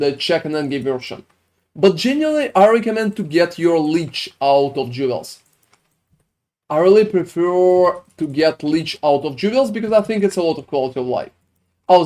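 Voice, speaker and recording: A man's voice: a little high, dark tone. A man with a French and Swedish accent, chatting casually on a game live stream, answering viewers in a rambling, filler-heavy way.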